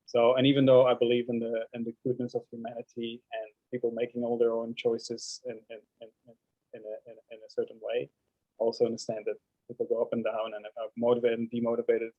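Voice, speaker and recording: A man from Belgium speaks earnestly as a panelist, often repeating words as he works through his point. His delivery is flat and monotone. The recording sounds dull and dry. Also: mid-range voice, fast talker, dry recording. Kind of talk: panel discussion.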